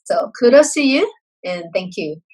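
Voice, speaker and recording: A woman's voice: a little high, very animated, normal speed, a little mellow, breathy edge, poor audio. A woman in her forties from Singapore, a guest telling her life story in an earnest, reflective way, with frequent restarts and fillers. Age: 40s. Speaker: interview guest. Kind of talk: candid personal storytelling.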